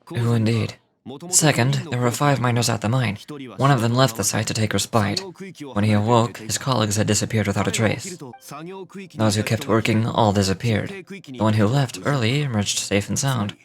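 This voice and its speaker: An actor playing a composed, methodical gentleman investigator in a video game, speaking in a measured, formal way. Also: on the low side, steady tone, crowd noise, close to an everyday voice. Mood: calm, analytical.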